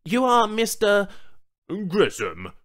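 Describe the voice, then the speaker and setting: A man's voice: sits high, wildly swooping pitch, slow pace, a bit bright, pitched above the performer's own voice, full character voice. A man voicing an eager, rambling, sycophantic corporate employee in an audiobook story. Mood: ingratiating. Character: chatty, sycophantic male office suit.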